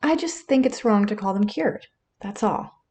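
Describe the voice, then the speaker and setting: A woman's voice: mid-range voice, expressive, moderate pace, mellow, close to an everyday voice. An actress playing a stubborn, blunt middle-aged wife and mother in an audio drama. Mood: prickly, firm.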